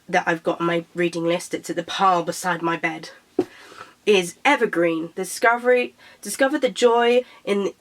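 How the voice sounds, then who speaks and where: Slightly croaky chatty young woman. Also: mid-range voice. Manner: chatty, self-correcting, stumbles over titles. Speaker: book vlogger. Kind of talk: casual chat to camera.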